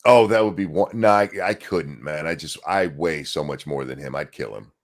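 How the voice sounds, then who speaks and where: A man's voice: extremely low pitch, moderate pace. A man hosting a casual livestream chat, talking in a loose, rambling way with restarts. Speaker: host.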